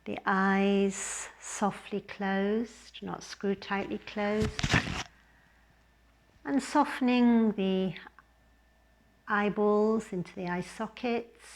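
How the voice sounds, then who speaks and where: Female yoga teacher, unhurried gentle delivery for guided relaxation, mid-pitched. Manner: gentle, unhurried, with long pauses between short phrases.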